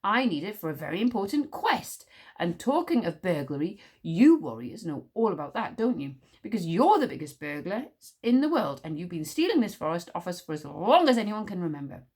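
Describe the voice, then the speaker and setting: A woman's voice: fairly low pitch, very animated, a little fast, a little mellow, slightly rough. A woman voicing a boastful, moody thirteen-year-old wizard boy in an audiobook, cocky and grandiose. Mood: indignant. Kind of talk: audiobook character voice.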